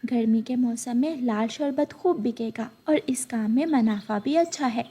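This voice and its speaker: A woman's voice: a little high, moderate pace, dark tone, husky. A woman voicing a helpful, sensible young girl bird in a children's cartoon. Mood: advising.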